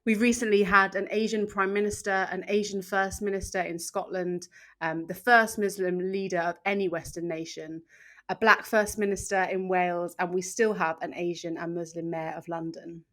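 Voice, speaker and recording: A woman co-hosting a podcast, chatting with her co-host and reading a measured introduction. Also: on the low side, level tone, fairly bright tone.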